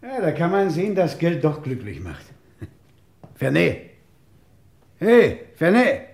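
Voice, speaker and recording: An actor playing a self-assured, jovial and ironic police inspector in a crime radio play. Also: male voice, on the high side, wildly swooping pitch, takes it slowly, gravelly, a little mellow, breathy edge, heavily acted. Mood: amused.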